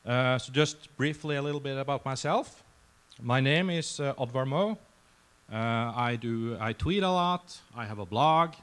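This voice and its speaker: A man of about forty with a Norwegian accent, speaking to a conference crowd in a loose, self-deprecating way. His voice sits at an ordinary pitch for a man. The delivery is relaxed and conversational, not polished. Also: a little slow, clean tone. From Norway. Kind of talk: casual conference presentation. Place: conference session room before a crowd.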